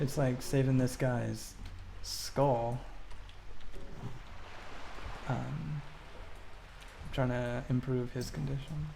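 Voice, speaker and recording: A man giving casual livestream commentary as he plays a game, answering questions in a thoughtful, halting, reflective way. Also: mid-range voice, creaky, background noise. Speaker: game streamer.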